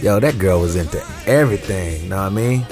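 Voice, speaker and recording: A man's voice: sits low, animated, brisk, a little mellow, soft background music. An actor playing an eager young man with street slang, curious and earnest. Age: young adult. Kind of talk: audio drama acting.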